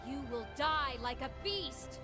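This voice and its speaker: A noble warrior queen for a video game. Her voice sits high and swings widely in pitch, with the earnest weight of a young leader and a streak of dry wit. Music plays strongly underneath. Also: animated, slow. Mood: stern, betrayed.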